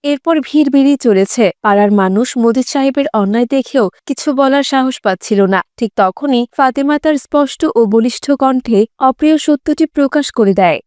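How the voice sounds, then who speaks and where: Lady narrating a dramatic story, high voice, dark soft tone, super dry sound. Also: fairly quick, few pauses, clean tone, no room sound.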